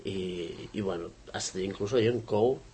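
Low-voiced man with a cold, hesitant. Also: a little slow, mellow, a little hiss or hum. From Barcelona, Spain. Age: 60s. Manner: hesitant, repeats words while searching for phrasing. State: has a cold, clearing his throat. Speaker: architect, interview guest. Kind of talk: conversational interview.

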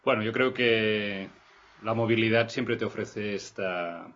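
A middle-aged man from Barcelona, guest on an interview podcast, speaking in a measured, hesitant way with many restarts. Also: on the low side, fairly bright tone. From Barcelona, Spain. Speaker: guest architect. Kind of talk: reflective interview talk. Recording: slightly muffled podcast recording.

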